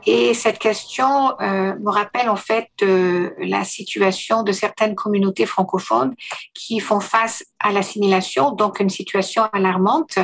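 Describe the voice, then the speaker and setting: A woman's voice: mid-range voice, unhurried, creaky. A woman answering an interview question hesitantly, with fillers.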